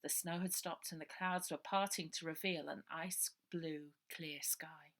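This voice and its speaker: A woman's voice: sits low, steady tone, a little slow, a bit bright. A woman narrating a children's adventure story as its author, reading aloud.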